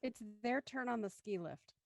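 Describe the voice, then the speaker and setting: A woman's voice: on the high side, expressive, moderate pace, gravelly, dry recording. Dry, close-sounding woman narrator. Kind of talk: scripted narration of stage directions.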